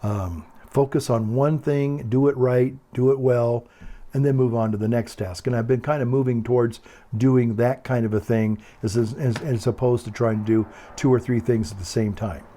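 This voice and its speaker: An older man with a calm, quiet voice, chatting casually in a meandering, hesitant way and often repeating himself. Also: medium pitch, moderate pace. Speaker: video blogger. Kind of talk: casual rambling monologue.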